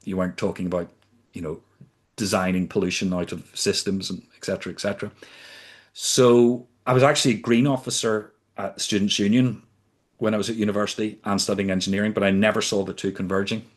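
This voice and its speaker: A man in his fifties from the UK, a podcast guest recounting his career in a measured, deliberate way. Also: lowish voice, little pitch movement. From United Kingdom. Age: 50s. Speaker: podcast guest, sustainability consultant. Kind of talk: reflective storytelling in conversation.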